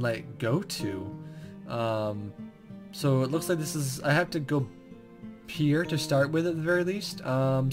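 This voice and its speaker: Young gaming host, mid-pitched, informal commentary mixed with read-aloud narration over faint music. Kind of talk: casual game playthrough, reading story text aloud.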